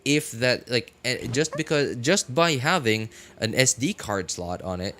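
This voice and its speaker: A man's voice: mid-range voice, lively intonation, unhurried, fairly bright tone, slight background noise. A man chatting casually as a live streamer, rambling through his reasoning and often checking in with short questions. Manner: conversational, argues points with frequent check-in questions. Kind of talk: casual rambling livestream chat. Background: music.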